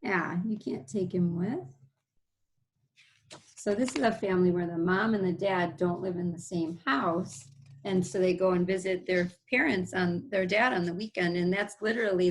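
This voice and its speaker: A woman teacher reading a picture book aloud, pausing to explain things simply to young listeners. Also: on the low side, low-quality recording.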